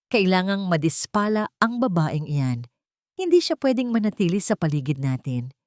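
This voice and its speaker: A woman's voice: lowish voice, a little mellow, no echo, studio quality, close to an everyday voice. A woman voicing a cruel, scheming older mother-in-law in an audio drama, cold, calculating and commanding.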